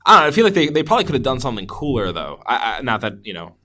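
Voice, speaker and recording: A man with a mid-pitched voice talks casually as a podcast co-host. He discusses films in a loose, conversational way, often qualifying or conceding his own points. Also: huge pitch swings, very fast, noisy background. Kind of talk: casual movie discussion chat.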